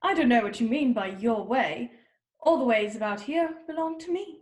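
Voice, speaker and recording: A woman's voice: on the high side, animated, normal speed, reverberant, natural voice. A woman playing an imperious, scolding queen in a children's readers' theater performance. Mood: haughty, scolding. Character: bossy, haughty queen ordering a young girl about.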